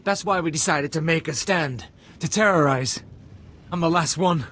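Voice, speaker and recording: An actor playing a doomed, defiant terrorist in a video game, bitter and fatalistic. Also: male voice, on the high side, normal speed, a bit bright, a little hiss or hum, lightly acted. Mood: bitter, resigned.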